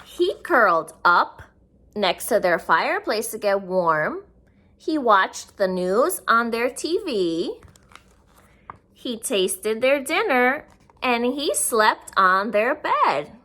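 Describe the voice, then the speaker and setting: Bright, slow, high-pitched woman reading to children. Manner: expressive, simple explaining asides to children. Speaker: children's story reader. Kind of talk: read-aloud picture book narration for young children.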